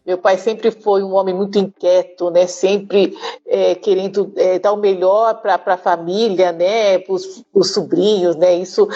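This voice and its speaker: Mellow middle-aged São Paulo woman, dull audio. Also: mid-range voice, fairly even intonation, measured pace. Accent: São Paulo Brazilian Portuguese. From São Paulo, Brazil. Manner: frequent "né?" tags, informal. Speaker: podcast guest, diversity speaker. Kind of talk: conversational personal storytelling in an interview.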